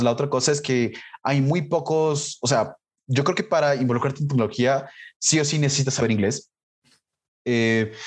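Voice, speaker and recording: A man from Latin America talking as an interview guest in a relaxed way, with many fillers and restarts. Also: mid-range voice. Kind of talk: casual interview talk.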